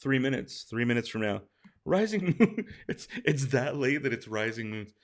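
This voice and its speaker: A man with a hoarse, tired voice, casually reading out viewer questions and answering them on a late-night live stream. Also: medium pitch, big pitch swings, normal speed.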